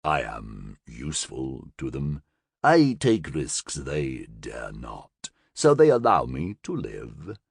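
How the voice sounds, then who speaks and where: A man's voice: extremely low pitch, huge pitch swings, breathy edge, no echo, studio quality, voice pushed down, full character voice. A man growling out a gurgling fanged monster prisoner. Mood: taunting. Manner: taunting, sardonic, drawn-out.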